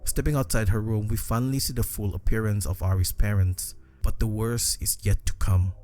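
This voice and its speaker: A man's voice: fairly low pitch, steady tone, normal speed, darkish tone. A man reading a scripted horror story narration for a video. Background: background music.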